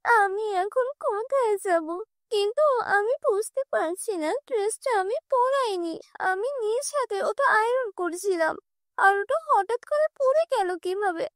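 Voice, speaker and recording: A woman voicing a gentle, downtrodden young stepdaughter in a cartoon fairy tale, plaintive and pleading. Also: very high-pitched, animated, a little slow, no echo, studio quality, voice pushed up, exaggerated voice. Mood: distressed.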